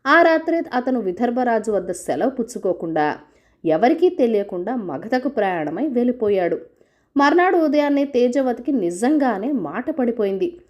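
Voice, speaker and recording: A woman's voice: fairly high pitch, sing-song, normal speed, a little mellow, muffled recording. A woman reading a scripted folk tale as a storyteller.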